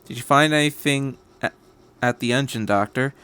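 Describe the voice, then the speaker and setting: A man reads the part of a cynical salaryman lawyer in a story. He sounds dry and matter-of-fact, a gossip at a middling pitch. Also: normal speed, sharp tone, smooth, lightly acted. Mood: casual.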